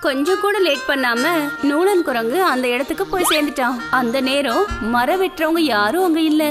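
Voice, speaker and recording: High-pitched woman narrating children's story. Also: animated, normal speed. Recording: music behind the narration.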